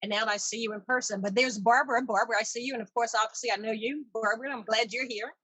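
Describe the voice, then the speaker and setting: A woman's voice: on the high side, steady tone, fast talker, sharp tone, slightly rough. Woman, event chair, chatty, self-deprecating, casual welcome, tablet video call, little room echo. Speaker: exhibition chair hosting a virtual meeting. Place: online video meeting.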